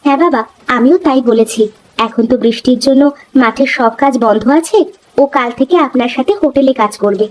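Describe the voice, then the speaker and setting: A young village daughter-in-law from a cartoon, played by a woman, with a fairly high voice. She is polite, homely and dutiful. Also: brisk, a little mellow, lightly acted, poor audio. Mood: polite, caring. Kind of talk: cartoon voice acting.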